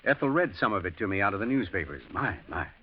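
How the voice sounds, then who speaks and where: Anxious, hesitant middle-class gentleman. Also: fairly low pitch, sing-song, quick pace. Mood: mildly concerned. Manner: hesitant, stammering, proper. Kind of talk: 1940s radio acting. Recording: muffled, noisy old radio broadcast.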